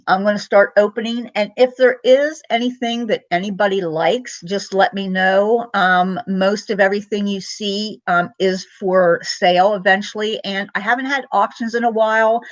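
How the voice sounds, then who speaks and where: A woman's voice: medium pitch, no room sound, highs cut off. A middle-aged woman chatting casually on a home live stream, rambling with many ums and greeting viewers by name. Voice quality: loud voice. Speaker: vlogger.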